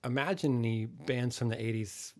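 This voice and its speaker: A man's voice: medium pitch, moderate pace, a little mellow. A middle-aged man co-hosting a podcast in casual chat, wry and joking, swearing casually. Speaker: podcast co-host.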